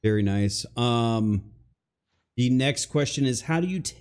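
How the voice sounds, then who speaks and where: A man hosting a casual online interview, asking questions in a relaxed way and reading out questions from viewers. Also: mid-range voice, a little slow, clear, resonant tone. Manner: relaxed, reads chat questions aloud. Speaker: interview host.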